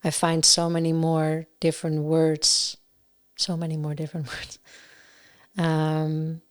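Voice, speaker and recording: A woman with a Dutch accent, a singer-songwriter and guest on a podcast, chatting casually and often pausing to search for words. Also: low voice, monotone. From Netherlands. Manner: searching for words, many fillers and restarts.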